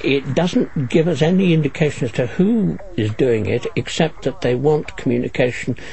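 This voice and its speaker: A man answering as an interviewed expert in a measured, precise, guarded manner. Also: medium pitch, vocal fry, warm tone, rough recording.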